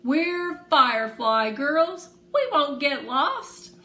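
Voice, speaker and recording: Lady doing a bouncy bold kid girl for a storybook, cheeky, raised pitch, bit echoey. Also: sing-song, very slow, pushed and bright, exaggerated voice. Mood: defiant. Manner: exclaiming, cheeky, emphatic. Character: Fire, bouncy, bold, proud young girl. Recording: roomy echo, faint background noise.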